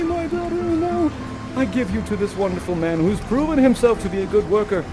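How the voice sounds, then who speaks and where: A man's voice: high voice, pitched above the performer's own voice, poor audio. A man playing a grand, playful sorcerer father who talks straight to the audience in a hammy, exuberant way. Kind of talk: interactive theatre performance.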